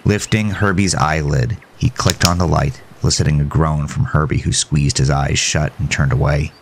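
Very deep male horror narrator. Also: steady tone, normal speed, slight background noise. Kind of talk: scripted horror story narration.

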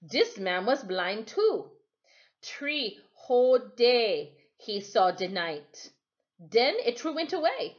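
A woman voicing a big, gentle, protective old sailor in a storybook, with emphatic, drawn-out dialect. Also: a little high, very animated, lo-fi sound, voice pushed up. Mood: gentle, soothing.

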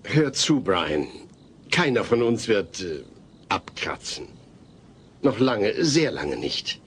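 Earnest, lively-pitched sitcom dad. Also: male voice, takes it slowly, slightly rough, exaggerated voice. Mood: patient reassurance. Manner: explanatory, reassuring. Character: patient, earnest, worried middle-aged sitcom father. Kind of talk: dubbed sitcom audio play. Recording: noisy old cassette audio play.

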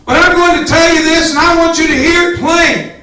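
Male pastor, fervent and emphatic, very high voice, slow delivery, recorded in a highly reverberant church. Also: steady tone. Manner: fervent, emphatic, rising to shouts, addressing congregation directly. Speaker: pastor preaching. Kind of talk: Pentecostal sermon. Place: church sanctuary with small congregation. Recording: echoey church hall, far from camera.

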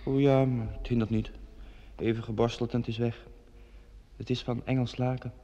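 An actor playing a subdued, resigned gentleman in an old radio drama. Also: medium pitch, little pitch movement, normal speed, close to an everyday voice. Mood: subdued, polite. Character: subdued, resigned gentleman parting from his sweetheart. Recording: dull vintage radio play recording.

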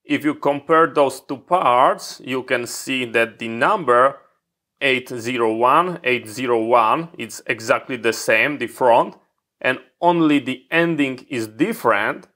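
A man's voice: mid-range voice, unhurried, bright, forceful tone. A man walking through a hands-on electronics repair at his workbench, explaining step by step with slightly non-native phrasing.

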